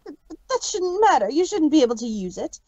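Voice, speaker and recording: A woman's voice: high-pitched, very animated, a little fast, darkish tone, dry recording. A woman voicing a scheming female pony villain in a fan reading, taunting and petulant. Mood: indignant. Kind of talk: fan reading of a story with voices. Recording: dull lo-fi stream audio.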